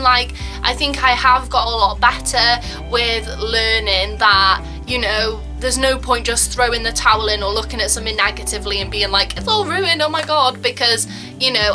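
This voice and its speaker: A young woman in her twenties chatting casually to camera, full of "like" and "um", acting out quoted lines. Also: a little high. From Wales. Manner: chatty, frequent "like" and "um", acts out quotes. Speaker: vlogger.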